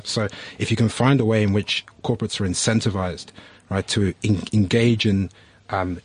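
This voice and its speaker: A man with a low, husky voice talks through his points on a panel. He explains things patiently and often checks in with a "right?". He speaks as a business founder. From United Kingdom. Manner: thoughtful, explanatory, frequent "right?" tags. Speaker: entrepreneur panelist. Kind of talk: panel discussion.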